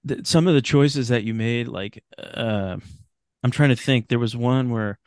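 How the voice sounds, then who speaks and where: A man chatting casually as a podcast guest, halting and repeating words as he goes. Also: medium pitch, darkish tone, smooth, dry recording. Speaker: podcast guest, writer of radio drama. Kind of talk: casual chat.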